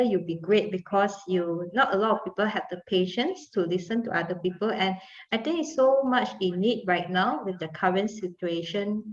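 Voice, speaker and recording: A woman with a Malaysian English accent hosting a video call, affirming and encouraging the guests. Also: medium pitch. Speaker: host and coach. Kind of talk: casual video call chat. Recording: echoey dull video call audio.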